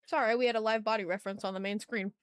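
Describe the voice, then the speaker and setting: A woman's voice: medium pitch, steady tone, very fast, fairly bright tone, clear, resonant tone, no echo, clean studio sound. A woman hosting an art livestream, chatting casually and slangily with her viewers into a sensitive microphone. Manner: slangy, informal. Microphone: sensitive ASMR microphone.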